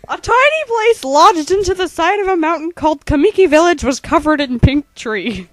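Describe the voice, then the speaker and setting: A woman's voice: very high-pitched, animated, normal speed, no echo. A woman co-narrating a fan-made comic parody in a slangy, mock-dramatic storytelling style.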